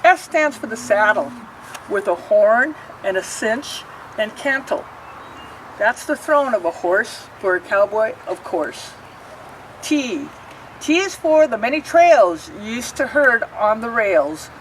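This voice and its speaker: A woman reading a rhyming picture book aloud, pausing to explain the pictures. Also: on the high side, expressive, recorded from a distance, a little hiss or hum, rough recording. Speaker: storybook reader.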